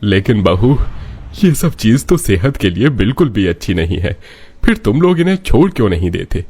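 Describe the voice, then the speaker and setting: A man voicing a gentle, concerned elderly father-in-law in a cartoon story, earnest in manner. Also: lowish voice, sing-song, moderate pace, breathy edge, heavily acted. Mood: disapproving.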